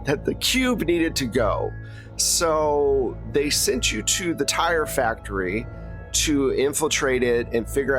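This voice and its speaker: A man's voice: mid-range voice, a bit bright. A man running a tabletop game as game master, recapping and narrating in an informal, explanatory way.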